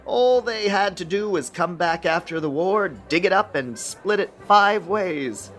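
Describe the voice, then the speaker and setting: High-pitched, dry intelligence official, acted by a man. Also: lively intonation, unhurried, a bit bright, soft background music. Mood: explanatory. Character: measured, secretive government intelligence official. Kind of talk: radio drama acting.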